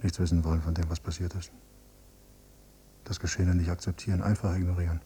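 An actor playing a brooding, detached adult son in a radio drama, narrating in terse, subdued phrases. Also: male voice, sits low, flat delivery, fast, mellow, natural voice. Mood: numb, detached. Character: brooding, detached adult son, quietly disgusted by his father. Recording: noisy, humming cassette copy.

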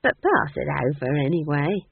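A narrator doing a cheeky, husky old country witch. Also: mid-range voice, animated, dry recording. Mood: cheeky, conversational. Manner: conversational, blunt, drawn-out phrasing. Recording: muffled, thin phone-like sound.

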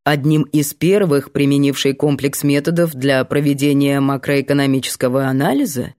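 A stern male professor, acted by a woman narrator, lecturing with theatrical pomp. The delivery is didactic and lowered in pitch, in the manner of an audiobook character. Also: steady tone, normal speed, a little mellow. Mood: pompous, probing. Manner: pompous, didactic.

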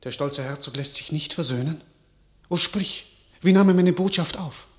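A man's voice: fairly high pitch, normal speed, darkish tone, echoey room, natural voice. An actor playing a despairing, weary young king in a classical verse radio drama, declaiming solemnly. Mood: anxious, urgent.

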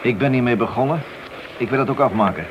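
An actor playing a tough, impatient police detective with a dry, brisk manner in a radio play. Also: male voice, medium pitch, close to an everyday voice. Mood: threatening, dry. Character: hard-bitten, impatient male police detective. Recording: dull, slightly noisy old radio drama recording.

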